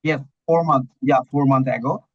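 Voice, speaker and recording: A man's voice: mid-range voice, steady tone, moderate pace, no echo, dull audio. A young man from Bangladesh with a Bangladeshi accent, a student talking as a guest in a casual English practice chat, hesitant and full of "you know that" and "uh". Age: young adult.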